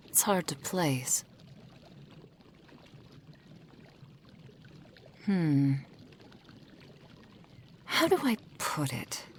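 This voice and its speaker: Woman voicing a possessive fox-spirit witch, ASMR roleplay, low-pitched, breathy half-whisper, creaky edges, doting yet softly menacing. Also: slight background noise, heavily acted. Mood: curious, fascinated. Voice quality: breathy, often dropping to a whisper. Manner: slow, silky, formal, doting. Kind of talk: intimate ASMR roleplay to the listener.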